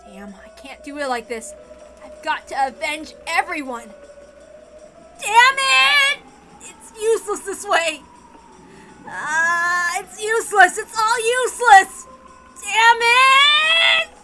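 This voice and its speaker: A woman's voice: very high-pitched, sing-song, slow, pushed and bright, voice pushed up, heavily acted, low-quality recording. A woman voicing a desperate, hot-headed young man in a visual novel reading, emphatic and emotional. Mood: despairing, furious. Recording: background music under the reading.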